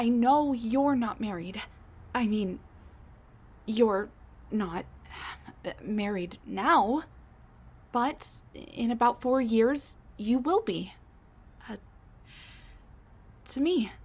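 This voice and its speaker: A woman voicing a scatterbrained older woman scientist who has traveled back in time to meet her husband. She stammers and restarts, full of fillers, and sometimes drops to a hushed mutter. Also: on the high side, lively intonation, drawn-out words, pauses often, breathy edge, exaggerated voice. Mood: flustered, pleading. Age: middle-aged. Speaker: audio roleplay performer. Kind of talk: audio roleplay drama.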